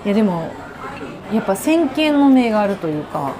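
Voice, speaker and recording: Giggly woman podcast host chatting really fast in a noisy restaurant, super animated. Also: mid-range voice. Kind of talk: casual chat. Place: restaurant, recorded while eating. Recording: busy restaurant chatter behind. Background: crowd chatter.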